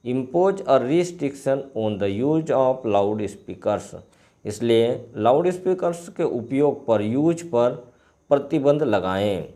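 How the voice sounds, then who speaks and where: A man with an Indian accent, a native Hindi speaker, teaching line by line and repeating phrases deliberately as he translates. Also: medium pitch, animated, dark tone. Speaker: English teacher. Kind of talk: line-by-line bilingual lesson, reading and translating.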